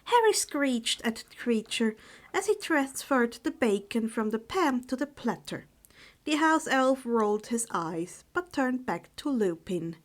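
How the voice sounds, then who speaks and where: Slow-paced, high-voiced woman storyteller. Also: very animated. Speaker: audiobook reader of fan fiction. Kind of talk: expressive scripted story narration.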